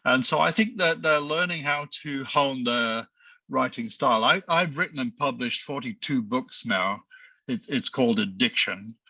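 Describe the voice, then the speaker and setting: A man's voice: medium pitch, moderate pace, slightly rough, no echo, muffled recording. A man, a business school professor, speaking animatedly and emphatically on a panel over a video call. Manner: animated, emphatic, with frequent restarts.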